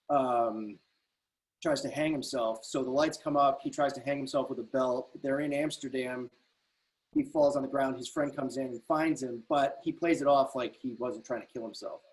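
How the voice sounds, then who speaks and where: Male playwright, medium pitch, reflective and casual, halting explanations, video call. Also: a little mellow, poor audio. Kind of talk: reflective casual discussion of own writing. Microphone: computer video call.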